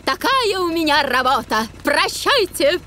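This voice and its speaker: A woman voicing a brisk, cheerful female animal rescuer in a children's cartoon. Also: high voice, sing-song, slight background noise, heavily acted. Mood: breezy. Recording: loud music and engine sounds behind.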